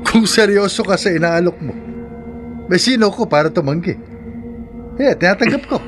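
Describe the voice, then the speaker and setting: An actor playing a cocky, greedy gambler in a horror radio drama, with a brash, questioning manner. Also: male voice, sits high, animated, normal speed, over music. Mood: eager, cocky. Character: cocky, greedy male gambler, wary of bargains.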